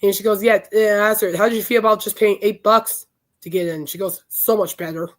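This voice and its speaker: Hoarse chatty woman podcast host. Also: medium pitch, fairly even intonation, brisk. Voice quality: raspy, worn throat. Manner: chatty, enthusiastic storytelling. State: hoarse, voice shot from illness. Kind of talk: casual rambling chat.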